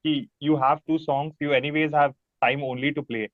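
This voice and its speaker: A man's voice: a little high, steady tone, moderate pace, no room sound. A man with an Indian accent, a musician being interviewed, chatting casually in a rambling, enthusiastic, informal way. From Mumbai, India. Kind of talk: casual chat. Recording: thin phone-like sound, fairly dry.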